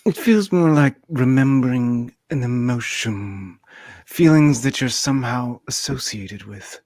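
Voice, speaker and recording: A man's voice: medium pitch, a little slow, a little mellow, no echo, natural voice. A man playing a troubled, thoughtful female starship counselor in an amateur sci-fi radio play, speaking hesitantly. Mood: uneasy, tender.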